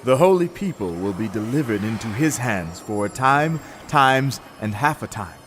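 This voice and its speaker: A solemn heavenly interpreter acted by a man declares prophecy in a scripture audio drama. The voice is mid-pitched and grave, with faint ambient noise behind. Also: animated, normal speed, fairly bright tone, lightly acted. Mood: solemn, declarative.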